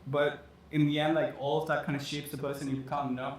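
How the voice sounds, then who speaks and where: A man's voice: fairly high pitch, level tone, fast. Rambling young man in an echoey room. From Dubai. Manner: rambling, many fillers and "like"s. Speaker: fashion designer guest. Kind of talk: casual interview talk. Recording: echoey room with faint noise.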